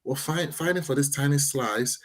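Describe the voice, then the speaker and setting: A man from Nigeria with a light Nigerian accent, a podcast guest telling his story in a quick, animated way. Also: medium pitch. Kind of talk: podcast conversation.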